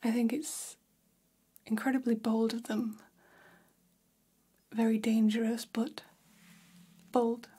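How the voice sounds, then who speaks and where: Female vampire salon stylist acted by a woman, ASMR roleplay: gossipy and catty, extremely breathy whisper, slow, confiding delivery. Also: on the high side, little pitch movement, dark tone. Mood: soothing, intrigued. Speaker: ASMR performer. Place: small decorated room, indoors. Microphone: close ASMR microphone.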